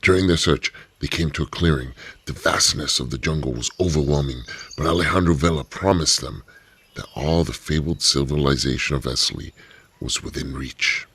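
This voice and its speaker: Man narrating radio drama, raspy, bright edge. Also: rumbling low, normal speed, no room sound. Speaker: narrator and host.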